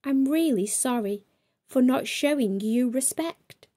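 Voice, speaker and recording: Woman playing a very high-pitched playground bully boy. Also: lively intonation, measured pace, mellow. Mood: sorry. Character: big mean playground bully boy in a storybook.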